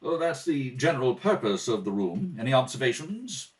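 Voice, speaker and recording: A man acting out an earnest, formal gentleman butler with measured, sometimes hesitant speech in an amateur radio play read-through. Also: mid-range voice, sing-song, recorded from a distance, natural voice, rough recording. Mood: flustered. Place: at a kitchen table at home.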